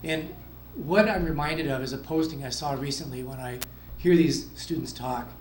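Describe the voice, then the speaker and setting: A man's voice: on the high side, moderate pace, slight background noise. A man giving an enthusiastic award introduction speech for a student at a school event. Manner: enthusiastic, proud. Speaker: school district official presenting award. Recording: echoey room with faint ambience.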